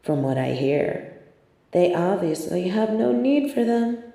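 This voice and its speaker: A woman playing a sardonic, scheming supervillain in a scripted audio roleplay, with a theatrical, measured, wry delivery and grudging sympathy. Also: medium pitch, very animated, mellow. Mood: scheming, gloating. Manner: theatrical, measured, wry, drops into muttered asides.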